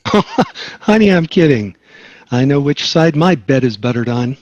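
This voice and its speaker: A man's voice: mid-range voice, very animated, slow, darkish tone, dull audio. A man playing a jokey suburban sitcom dad in an amateur table read. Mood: upbeat, teasing.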